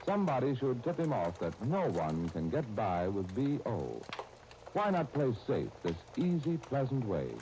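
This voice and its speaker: A man's voice: medium pitch, huge pitch swings, unhurried, warm tone. A man working as a commercial announcer, reading a 1930s radio advertisement. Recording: noisy 1930s radio broadcast disc.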